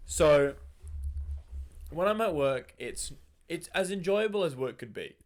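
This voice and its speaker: A man's voice: a little high, expressive, a little slow. A man hosting a podcast in casual banter, teasing and informal, swearing freely. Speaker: podcast host.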